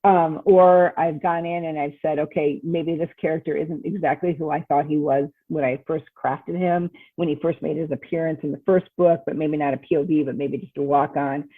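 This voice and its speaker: A woman's voice: low voice, fairly even intonation, normal speed, hardly any pauses, slightly rough, dry recording. A woman author chatting casually as a podcast interview guest, explaining things and acting out her thoughts as quotes. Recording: tinny phone-like line, muffled words.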